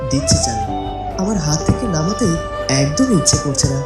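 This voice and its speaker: Soft, earnest young prince played by a man, echoey. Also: a little high, lively intonation, brisk, natural voice. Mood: tender. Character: loving, sentimental young prince, husband and father. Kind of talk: cartoon fairy tale voice acting. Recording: echoey, loud music underneath.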